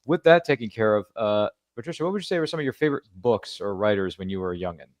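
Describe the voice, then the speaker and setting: A man's voice: on the low side, animated, fast talker, no room sound. A man hosting an interview show, chatty and playful, fond of references and catchphrases. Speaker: podcast host. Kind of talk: casual interview chat.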